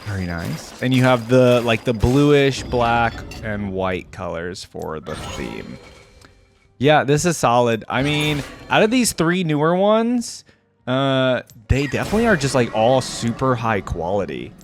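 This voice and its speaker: A man's voice: mid-range voice, big pitch swings, measured pace, soft background music. A man doing casual reaction commentary as a video host, musing and thinking aloud in an informal way.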